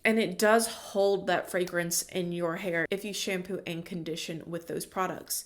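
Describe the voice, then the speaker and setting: A woman beauty vlogger, congested from a cold, giving chatty, opinionated product reviews in casual slang. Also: fairly low pitch.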